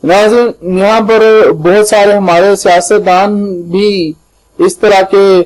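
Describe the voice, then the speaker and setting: A man with a Pakistani accent presenting an informal slide-by-slide lesson, halting and repeating himself as he addresses his viewers. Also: high voice, fairly even intonation, a little mellow, poor audio. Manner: halting and repetitive, addresses viewers often, reads English legal terms slowly. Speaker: host of a legal explainer channel.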